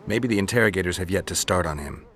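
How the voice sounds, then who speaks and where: Deadpan gruff monster hunter from a fantasy game, deep voice, short dry lines, bit of buzzy background. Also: male voice, fast talker, a little hiss or hum. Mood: curt, questioning. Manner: terse, deadpan. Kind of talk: video game voice acting.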